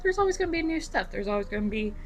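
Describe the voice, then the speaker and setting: A young woman with a raspy voice from bronchitis, giving rambling, casual tips on becoming an esthetician to her viewers. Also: fairly high pitch, very animated, rapid-fire, faint music behind. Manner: rambling, lots of fillers and repeats. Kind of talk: casual vlog talk to camera.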